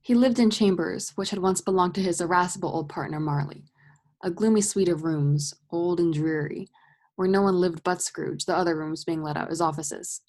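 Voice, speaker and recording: A woman narrating a radio play as a scripted story, husky and measured with dramatic delivery. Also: on the low side, a little mellow.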